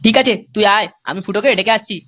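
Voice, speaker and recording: A performer voicing a crude, excitable cartoon village pal, coarse and boastful. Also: mid-range voice, very fast, lightly acted. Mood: gossiping. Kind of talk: comic cartoon dialogue. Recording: clearly noisy cartoon soundtrack.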